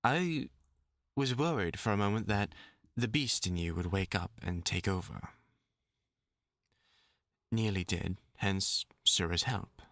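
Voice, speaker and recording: A man's voice: lowish voice, fairly bright tone, dry recording, studio quality, heavily acted. A man with a British accent playing a courteous magician in an audio drama, explaining things formally and apologetically with some hesitation. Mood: measured, slightly sheepish. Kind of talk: character audio drama monologue.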